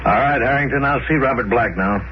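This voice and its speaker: An actor playing a stern, impatient colonial administrator on Mars in a 1950s radio drama, brusque, scolding and sarcastic. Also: male voice, medium pitch, a little fast, natural voice. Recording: dull, noisy old 1950s radio recording.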